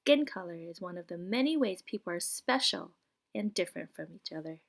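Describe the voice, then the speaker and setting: A woman's voice: lowish voice, lively intonation, brisk. A woman reads a children's picture book aloud like a preschool teacher, explaining things and putting questions to young listeners. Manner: clear explanatory reading with questions posed to children.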